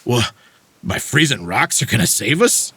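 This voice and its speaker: Animated, cheeky fugitive sidekick. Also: male voice, medium pitch, a little slow, slight background noise. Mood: sardonic, incredulous. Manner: expressive, irreverent, colloquial. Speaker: fantasy game character. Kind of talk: dramatic video game voice acting.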